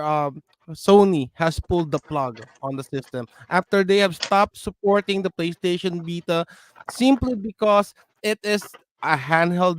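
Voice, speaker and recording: A man's voice: fairly high pitch, no room sound. A middle-aged man co-hosting a casual panel discussion about video games. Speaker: gaming show host.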